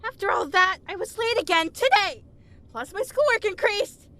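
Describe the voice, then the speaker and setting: A woman's voice: very high-pitched, lively intonation, moderate pace, a bit bright. A woman voicing a gloomy, exasperated teenage girl idol in an anime dub. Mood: frustrated.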